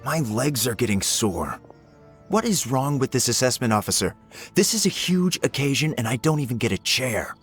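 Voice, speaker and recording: A man's voice: medium pitch, sing-song, moderate pace, faint music behind, lightly acted. A man voicing a haughty, impatient, pompous wealthy businessman in a video game. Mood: indignant. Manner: complaining, pompous.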